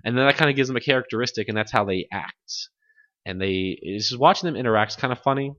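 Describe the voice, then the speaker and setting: A man's voice: medium pitch, fast, a bit bright, no room sound, dull audio. A man chatting casually about video games as a podcast host, rambling and often given to laughter.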